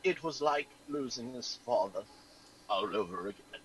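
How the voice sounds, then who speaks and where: A man playing a melodramatic company boss in a mock dramatic reading, with exaggerated, halting, drawn-out delivery. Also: medium pitch, animated, slight background noise, voice pushed down, natural voice, poor audio. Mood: overwrought, anguished. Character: melodramatic boss in a mock-solemn email, sliding into mobster and creature.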